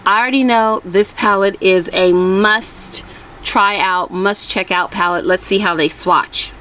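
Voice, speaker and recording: A woman's voice: medium pitch, steady tone, a little slow, fairly bright tone. A woman with a slightly scratchy, strained voice, chatting informally as the host of a casual makeup review vlog. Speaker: beauty vlogger host.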